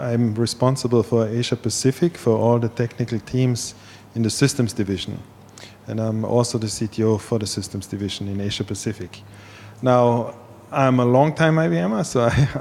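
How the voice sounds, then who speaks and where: A man's voice: mid-range voice, darkish tone, slight background noise. A man in his fifties from Austria with an Austrian accent, earnestly introducing himself as a panelist. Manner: earnest, with small laughs and repeated words. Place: event hall. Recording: reverberant event hall.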